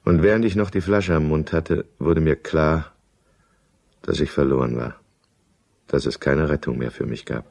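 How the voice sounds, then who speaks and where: A deep male voice in a German radio drama gives us a self-pitying businessman who drinks. He narrates and argues in a brooding, irritable way, always defending himself. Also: fairly even intonation, moderate pace, gravelly, darkish tone. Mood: brooding, confessional. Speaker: lead character and first-person narrator.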